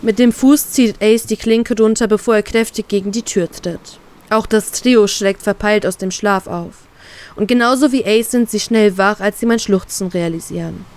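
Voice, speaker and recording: A woman reading her own fan fiction aloud in an expressive, emotional way. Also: mid-range voice, moderate pace. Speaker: fan fiction author and reader.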